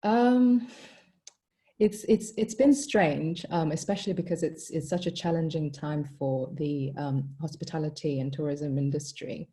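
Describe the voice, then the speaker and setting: A young woman with a low, mellow voice talks about her hotel business in a relaxed interview. She is from Hong Kong, Italy and Malaysia. She thinks aloud, with plenty of ums and "you know". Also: measured pace. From born in Hong Kong, raised in Italy and Malaysia. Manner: thoughtful, many ums and "you know" fillers. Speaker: hotel co-founder, guest. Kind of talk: casual interview answers.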